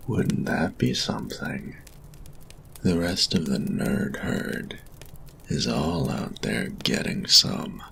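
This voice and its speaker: Man acting very deep, gravelly, cocky flirty bully. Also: a little hiss or hum, heavily acted, rough recording. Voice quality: breathy, gravelly low voice. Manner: slow smug drawl, taunting. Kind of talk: intimate roleplay audio acting.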